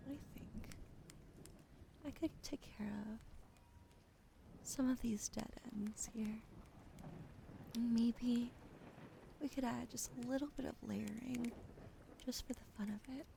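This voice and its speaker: Gravelly whispering woman, gentle ASMR. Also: on the high side, slight background noise. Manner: soft, gentle, chatty with viewers. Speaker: ASMR streamer. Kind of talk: ASMR roleplay with chat.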